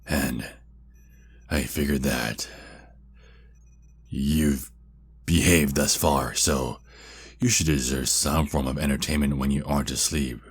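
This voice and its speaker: A male actor performs a seductive, possessive vampire kidnapper for an ASMR roleplay. His voice is extremely low and full of gravelly fry, husky at the edges. He talks slowly and intimately, taunting and controlling his captive. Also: full character voice. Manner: slow, intimate, deliberate, with drawn-out pauses.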